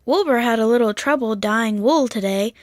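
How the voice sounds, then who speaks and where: A woman voicing a worried mother sheep in a storybook, hurried and fussing. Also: a little high, dry recording, studio quality, natural voice.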